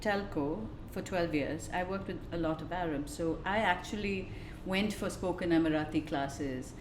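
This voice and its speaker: A woman's voice: on the low side, normal speed, a little hiss or hum. A woman in her forties from India, a guest on a podcast, chatting and laughing often. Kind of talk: podcast interview. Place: room in Dubai. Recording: roomy echo.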